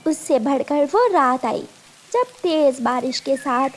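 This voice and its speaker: A woman voicing a gentle mother bird who tells stories of her hardships in a children's cartoon. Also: high voice, animated, slow. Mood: earnest, reminiscing. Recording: faint background noise.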